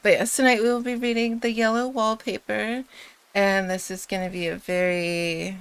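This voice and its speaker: A woman's voice: mid-range voice, level tone, normal speed, clean tone, rough recording. A sleepy woman who has just woken, hosting a casual livestream chat before a bedtime reading, giggly and rambling. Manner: giggly and rambling, with many fillers. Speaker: livestream host.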